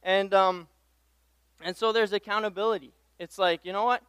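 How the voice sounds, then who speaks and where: A young man speaks from the pulpit in a high-pitched, smooth voice, recorded close and dry. His sermon is conversational and self-deprecating, built on personal anecdotes, and he often circles back over his own phrases. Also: fairly even intonation, moderate pace, fairly bright tone, dry recording. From Tanzania. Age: young adult. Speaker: pastoral intern preaching as guest speaker. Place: church pulpit.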